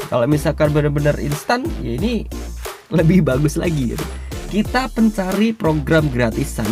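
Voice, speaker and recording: A man, hoarse and unwell, giving a rambling, slangy screen-recorded tutorial and often clearing his throat. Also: fairly high pitch. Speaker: YouTuber reviewing crypto sites.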